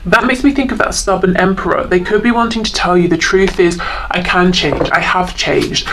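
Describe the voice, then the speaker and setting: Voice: mid-range voice, background noise. A tarot reader talking informally through a card reading, thinking aloud in a hesitant, reflective way and reading out messages.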